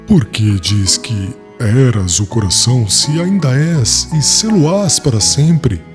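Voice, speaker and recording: A man's voice: mid-range voice, expressive, takes it slowly, over music. An actor playing an ardent, devoted young man of the ancient world in an audiobook drama, declaiming his love earnestly and solemnly. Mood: joyful, ardent.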